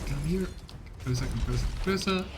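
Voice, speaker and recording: A man hosting a game let's play, thinking aloud in casual commentary full of fillers and quick reactions. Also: medium pitch, noisy background. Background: loud game music.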